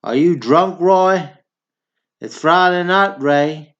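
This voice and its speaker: A man's voice: a little high, slow, a bit bright, lo-fi sound. A man acting in a stage play as one of two blunt, bantering Texan brothers, one a drunk war veteran. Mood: drunk, wry.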